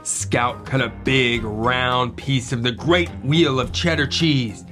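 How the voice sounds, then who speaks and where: A man's voice: medium pitch, fairly even intonation, slow, pushed and bright, soft background music. A man co-hosting a children's read-aloud, narrating in a playful, theatrical way.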